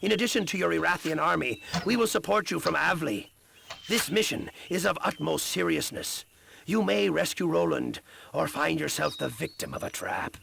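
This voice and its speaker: High, gravelly, formal royal ambassador. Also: male voice, lively intonation, normal speed, a bit bright, slight background noise, full character voice. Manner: formal, urgent. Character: male ambassador delivering a grave royal message. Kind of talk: scripted video game cutscene dialogue.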